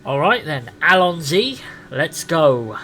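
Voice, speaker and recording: A man playing a quirky time-travelling adventurer and helpful guide, halting as he thinks aloud in improvised role-play. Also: fairly high pitch, sing-song, pushed and bright, a little hiss or hum, heavily acted. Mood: eager.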